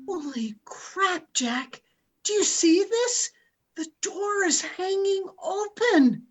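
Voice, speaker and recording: A worried middle-aged mother, voiced by a woman, speaks in a homemade radio play. Her voice is quite high and her delivery slow and musing. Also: animated, heavily acted. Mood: startled. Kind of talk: amateur radio play acting.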